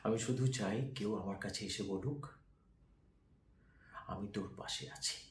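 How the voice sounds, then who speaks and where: A man performing a slow, pleading dramatic monologue as an amateur actor, with halting delivery and heavy pauses. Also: fairly low pitch. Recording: roomy echo, faint background noise.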